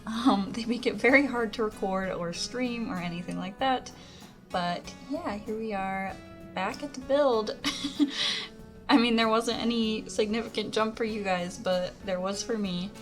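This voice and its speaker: A young woman whose voice is worn hoarse talks in a loose, chatty way, stringing thoughts together with plenty of fillers. Her pitch sits in the middle of the usual range for a woman. Music plays clearly behind her voice. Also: measured pace. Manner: chatty, rambling, lots of "like" and "um". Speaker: Sims speed-build YouTuber. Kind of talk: casual voice-over commentary. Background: background music.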